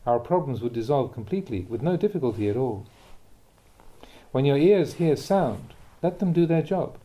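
Male reader for dharma teachings: very soft dark tone, mid pitch, deliberate and instructive delivery. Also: big pitch swings. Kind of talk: scripted reading of a dharma talk.

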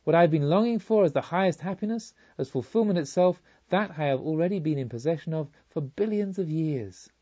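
A man voicing an awestruck, excitable pilgrim spirit in a storybook reading, speaking with urgent exclamations. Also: a little high, brisk, few pauses, highs cut off. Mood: rueful astonishment.

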